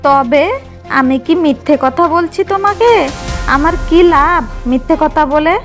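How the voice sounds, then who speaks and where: A woman's voice: sits high, unhurried. A woman playing a scheming, bossy elder sister-in-law in a cartoon fairy tale, smug and conspiratorial. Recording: music behind the voice.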